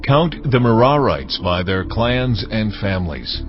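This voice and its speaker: Commanding God over music. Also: male voice, lowish voice, very animated. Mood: solemn, commanding. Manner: measured, commanding. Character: God giving commands to Moses, solemn and authoritative. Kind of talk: dramatized audio Bible reading. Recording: dull studio sound under music. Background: clear background music.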